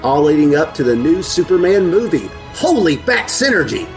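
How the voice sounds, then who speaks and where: A man's voice: on the high side, sing-song, normal speed. A man reading a scripted podcast promo with music behind him.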